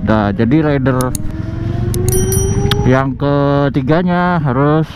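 A man with an Indonesian accent chatting casually and haltingly as a motovlogger, speaking into a helmet mic while riding. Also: medium pitch, animated. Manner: hesitant, halting delivery. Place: outdoors on a moving motorcycle in traffic. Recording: muffled helmet mic, clear road noise. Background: motorcycle and traffic noise.

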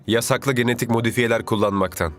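A man's voice: mid-range voice, fairly even intonation, fast, soft background music, lightly acted. A man voicing a stern, curt official in a children's cartoon. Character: stern, curt male official handing out penalties. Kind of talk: animated children's cartoon dub.

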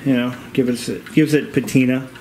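A man narrating a vintage radio repair in a casual, rambling, halting and plain-spoken way, as a hobbyist vlogger. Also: medium pitch, steady tone. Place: indoors in a home. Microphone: handheld camera, operator behind it. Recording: clear background room noise.

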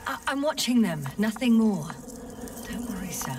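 A woman playing a curious, earnest young space scientist in a video game, with a hesitant, soft-spoken manner. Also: fairly high pitch, animated, moderate pace, distant mic, a little hiss or hum, natural voice. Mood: nervous. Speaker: crew scientist. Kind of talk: naturalistic video game acting.

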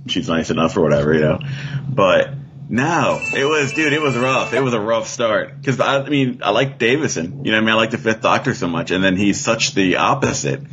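A middle-aged American man chatting casually as a guest, in an informal, halting way. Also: on the low side, lively intonation, lo-fi sound, background noise. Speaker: podcast guest. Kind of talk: casual chat.

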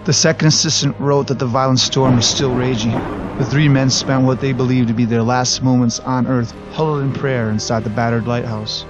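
A man narrating a scripted documentary in a measured, even storytelling manner. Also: mid-range voice. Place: voice-over recorded apart from the footage. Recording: slightly dull recording with faint music.

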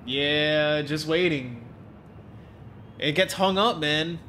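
Young male streamer, congested, bright and forceful, chatty and joking with chat, home desk mic, faint hiss. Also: fairly high pitch, slow. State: congested, stuffed-up nose. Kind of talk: casual live-stream chat with viewers. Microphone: desk mic, webcam framing.

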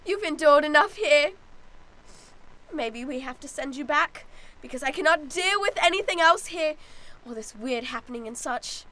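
A woman's voice: high-pitched, animated, moderate pace, sharp tone. A woman playing a haughty, suspicious, melodramatic lady of the manor in an improvised old-time radio play. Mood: stern, exasperated. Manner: melodramatic, exasperated, formal.

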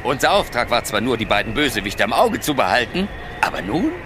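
An actor playing an excitable, determined male raven in a children's fantasy radio play. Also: mid-range voice, brisk, background noise, close to an everyday voice. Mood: urgent.

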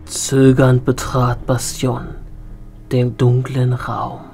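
A man's voice: medium pitch, monotone, takes it slowly, vocal fry, warm tone. A man narrating an audio drama in a dramatic, scripted storytelling style. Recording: heavy echo and long hall reverb. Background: music.

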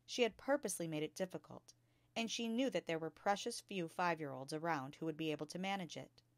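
A woman reading a children's story aloud as a storytime reader, with clear, expressive delivery. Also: deep voice, a little fast, no room sound. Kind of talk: children's book read-aloud.

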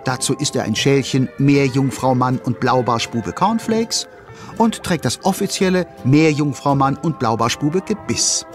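A man's voice: medium pitch, a little slow, faint music behind, lightly acted. A man narrating a children's cartoon audio play in a playful, scripted storyteller style. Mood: cheerful. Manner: playful, addresses young listeners.

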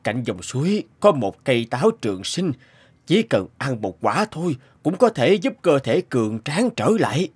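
A man voicing royal court figures in an animated fairy tale, speaking formally and theatrically. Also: medium pitch, moderate pace, husky. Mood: solemn, reverent.

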